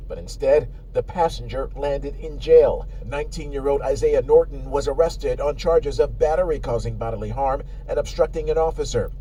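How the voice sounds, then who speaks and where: Male news reporter, mid pitch, dark tone, scripted clip with faint hiss. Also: rough recording. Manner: measured, formal delivery.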